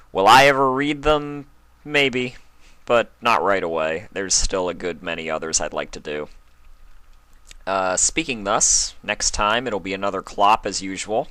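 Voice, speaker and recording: Low-pitched man reading stories, bright tone. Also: no room sound. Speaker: fan fiction reader. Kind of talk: storybook narration and casual sign-off.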